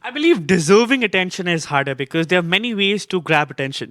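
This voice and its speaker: A man speaking on a live panel in a playful, jokey and energetic way. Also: fairly high pitch, no room sound, studio quality. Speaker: panelist, video marketer. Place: convention stage.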